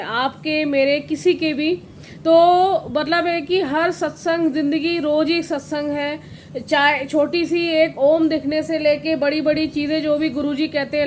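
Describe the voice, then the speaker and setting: A woman with a high voice roughened by a cold shares a devotional story on her channel. She rambles, restarts and fills pauses as she goes. A faint hiss sits under the recording. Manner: rambling, with frequent restarts and fillers. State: hoarse with a cold. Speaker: satsang channel host.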